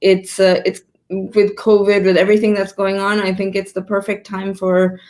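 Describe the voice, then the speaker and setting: A woman in her fifties, born in Pakistan, answering interview questions on a video call, often pausing to rephrase her sentences. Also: mid-range voice, monotone, darkish tone. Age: 50s. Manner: thoughtful, stops to rephrase mid-sentence. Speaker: guest entrepreneur.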